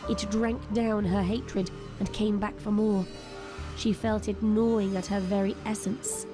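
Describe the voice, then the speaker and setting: Female narrator, medium pitch, dramatic scripted delivery, prominent music underneath. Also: level tone, measured pace.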